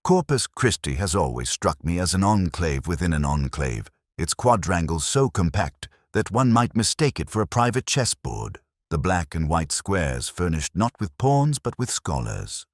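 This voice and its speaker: A very deep-voiced, middle-aged detective narrates his own case. He is brooding and scholarly, phrasing things with literary solemnity. His intonation moves expressively within that low register, and the recording is dry and close. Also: big pitch swings, normal speed, hardly any pauses, dry recording, exaggerated voice. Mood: brooding, reflective. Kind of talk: scripted first-person detective narration.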